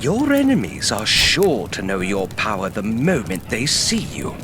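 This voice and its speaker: A man's voice: lowish voice, huge pitch swings, a little slow, background noise. A man playing a sly, fawning villain's henchman in an audio drama, oily and theatrical.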